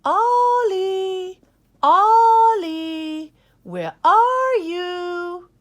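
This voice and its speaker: A woman's voice: extremely high pitch, sing-song, drawn-out words, highs cut off, voice pushed up, full character voice. A woman voicing an anxious, loving mother dog in a storybook, with a motherly, calling manner. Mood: worried, calling.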